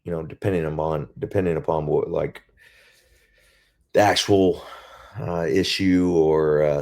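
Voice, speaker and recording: Singer guy with a super deep voice just thinking out loud, lots of pauses. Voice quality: naturally very deep voice. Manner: reflective, searching for words with many pauses. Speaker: band singer as guest. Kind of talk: casual interview chat.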